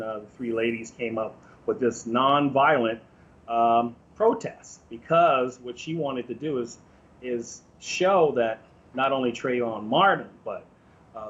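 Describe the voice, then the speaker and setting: A man's voice: mid-range voice, highs cut off. An American man speaking informally as an interview guest, explaining his opinions in a conversational way.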